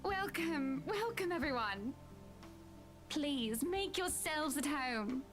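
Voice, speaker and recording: A woman voicing a wealthy, proper and exasperated socialite hostess from a visual novel, speaking formally with breathy asides. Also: sits high, lively intonation, slow pace, a bit bright, faint music behind, pitched above the performer's own voice, full character voice. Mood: composed, gracious.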